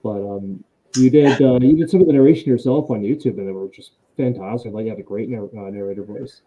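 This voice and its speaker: A male host in a casual live interview, friendly and quick to laugh, often restarting his sentences. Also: mid-range voice. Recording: tinny phone-like line.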